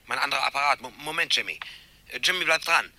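An actor playing an eager newspaper desk man in a radio crime play, excitable, stammering and urgently pleading. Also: mid-range voice, fast talker, dry recording. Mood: flustered urgency.

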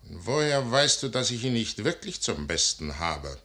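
Low forceful male voice, proud army commander, grand declamatory verse, deliberate emphasis, vintage radio drama. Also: big pitch swings, full character voice. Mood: taunting, proud. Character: imperious, proud, secretive army commander who trusts the stars. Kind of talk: 1950s German radio drama acting, classical verse. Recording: 1955 radio studio recording.

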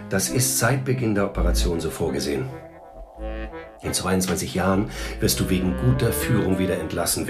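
An actor playing a calm, commanding police commissioner in a radio crime drama, speaking in a terse, dry, authoritative way. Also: male voice, low voice, close to an everyday voice. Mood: stern.

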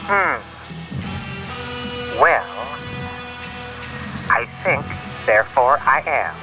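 A self-important thinking bomb from a science fiction film. The voice is medium in pitch, deliberate and touchy. Music sits clearly behind it. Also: male voice, animated. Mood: pondering. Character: touchy, intelligent talking male space bomb. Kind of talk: English-language science fiction film dialogue.

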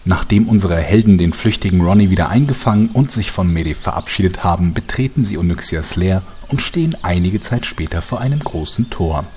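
A man's voice: low voice, expressive, a little fast, few pauses, a little mellow, slight background noise. A man acting as the composed storyteller, reading scripted narration for a parody audio drama. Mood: composed, matter-of-fact.

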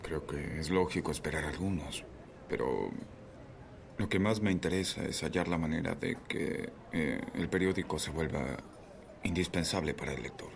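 A deep, gravelly, reserved new editor. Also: male voice, moderate pace, a little hiss or hum. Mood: calm. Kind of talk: Latin American Spanish film dub.

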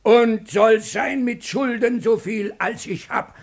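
An actor playing a boisterous village jokester in a radio drama, reciting a comic folk tale theatrically with drawn-out words. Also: male voice, extremely high pitch, gravelly, exaggerated voice. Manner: theatrical, shouts, drops and draws out words.

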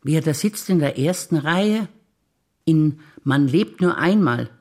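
An older woman from Bavaria recites memories on stage in a low, measured voice. Her delivery is reflective and list-like. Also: lively intonation, darkish tone. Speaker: stage actor.

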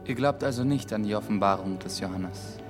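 A man's voice: lowish voice, fairly quick, lightly acted. A young actor in a German radio drama playing an inquisitive, doubting young man. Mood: questioning. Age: young adult. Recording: music playing underneath.

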